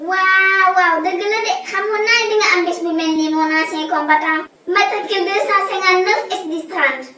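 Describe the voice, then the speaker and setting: A performer voicing a teasing, squeaky wife with a Senegalese accent in a comedy cartoon. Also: moderate pace. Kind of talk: cartoon voice acting in squeaky falsetto. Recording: roomy, distant, background chatter.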